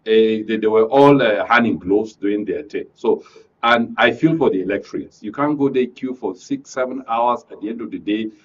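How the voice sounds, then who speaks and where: A man with a Nigerian accent giving halting, unscripted political commentary as a panel guest. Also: lowish voice, distant mic. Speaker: studio guest, public affairs commentator. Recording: dull, off-mic audio with background noise.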